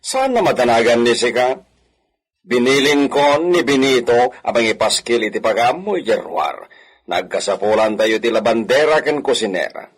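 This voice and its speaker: A man's voice: mid-range voice, expressive, moderate pace, slightly rough, low-quality recording. A man with an Ilocano accent acting an authoritative, stern villa master and father in a radio drama. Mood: stern, instructing. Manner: authoritative, sprinkles in Spanish phrases.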